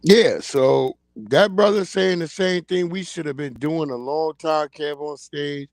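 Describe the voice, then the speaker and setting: A man's voice: on the high side, measured pace, a little mellow, no room sound. A man in his forties hosting a livestream, ranting bluntly and in slang about the news of the day. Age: 40s. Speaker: livestream host. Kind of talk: casual commentary livestream. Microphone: streaming mic.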